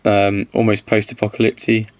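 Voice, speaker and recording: A man with a slight cold-hoarse voice, casually reviewing miniatures, rambling and correcting himself as he goes. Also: lowish voice, completely flat delivery, moderate pace. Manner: rambling, self-correcting, counts aloud.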